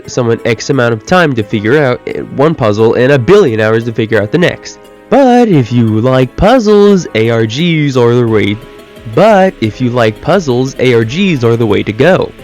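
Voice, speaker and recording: Male video essayist, medium pitch, casual and exasperated, sarcastic and self-deprecating, music underneath. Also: a little mellow. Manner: exasperated, rhetorical questioning. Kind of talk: casual opinion commentary video. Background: background music.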